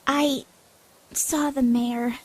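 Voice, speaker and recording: A woman's voice: sits high, level tone, extremely slow, breathy edge, voice pushed up, exaggerated voice. A woman voicing a scared, injured young female pegasus pony in an audio drama, whimpering and pleading. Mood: shaken, dread. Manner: whimpering, pleading, hushed at times.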